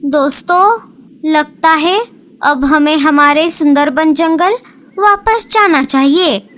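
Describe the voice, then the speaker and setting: A woman voicing a friendly, excitable female bird in a cartoon, with drawn-out, expressive exclamations. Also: high voice. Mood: exclaiming, eager. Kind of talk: Hindi cartoon character voice. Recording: noisy background.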